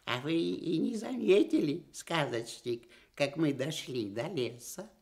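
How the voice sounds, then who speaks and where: An actor playing a little forest gnome, excitable and full of wonder, in a Soviet children's radio play. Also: male voice, a little high, takes it slowly, gravelly, heavily acted. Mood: excited delight.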